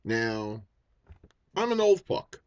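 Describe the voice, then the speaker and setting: A man's voice: medium pitch, very animated, slow, a little mellow, clear, resonant tone, no room sound, muffled recording. A man in his fifties talking bluntly in an unscripted vlog, swearing often and restarting his sentences. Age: 50s. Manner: blunt and profane, with many restarts and fillers. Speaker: vaping YouTuber.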